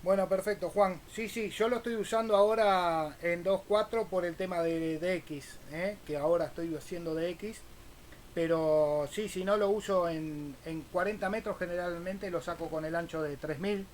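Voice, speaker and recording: An Argentine man with a high voice chats casually as an amateur radio operator. He talks in radio-operator jargon, with faint noise in the recording. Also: normal speed. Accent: Argentine Spanish. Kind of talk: casual ham radio exchange with spelled-out call signs.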